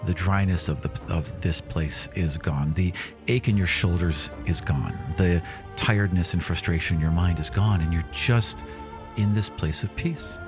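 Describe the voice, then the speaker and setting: A man's voice: deep voice, normal speed, over music. A man speaking as the host and game master of a tabletop fantasy show.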